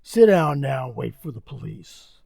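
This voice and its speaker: An actor playing a sly, mocking, sinister narrator in 1940s radio mystery style, gravelly, husky and gloating. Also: male voice, mid-range voice, very animated, normal speed. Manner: sardonic, gloating.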